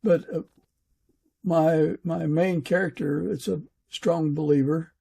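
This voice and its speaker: A man with a husky, gravelly voice hosting a conversational interview, speaking hesitantly with many halts. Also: on the high side, steady tone, mellow. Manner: hesitant, halting. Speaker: podcast host and fiction author.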